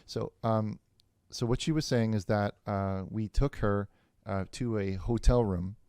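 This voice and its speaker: A man as a low-voiced, stammering televangelist host. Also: warm tone, clear, resonant tone, no echo, studio quality, close to an everyday voice. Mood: evasive, flustered. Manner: halting, stammering, repetitive. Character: bumbling, evasive male televangelist hosting a call-in show. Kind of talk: improvised parody call-in religious broadcast.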